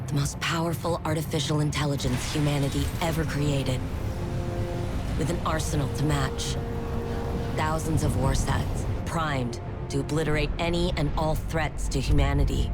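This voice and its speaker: An actress playing a weary, guarded woman engineer in a dramatic sci-fi game cinematic, grave and wry in manner. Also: sits low. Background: loud cinematic music.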